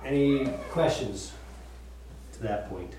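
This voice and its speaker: A man's voice: mid-range voice, animated, takes it slowly, recorded from a distance, background noise. Chatty man teaching in an echoey room. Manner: chatty, laughs often, repeats and restarts. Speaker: Bible study teacher. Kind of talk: casual Bible study teaching. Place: room with group listening.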